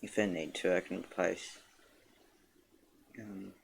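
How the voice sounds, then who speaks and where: Low, creaky man muttering over a game. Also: steady tone, slow. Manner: muttering to self. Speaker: game streamer. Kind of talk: casual gameplay commentary. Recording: game music loud behind the voice. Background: video game music.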